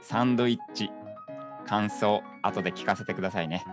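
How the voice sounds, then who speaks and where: An actor playing an easygoing, kindly male cafe keeper in a voice drama, gentle and encouraging. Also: on the low side, normal speed, lightly acted. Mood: gentle, friendly. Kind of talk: voice drama dialogue. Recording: music clearly behind the voice.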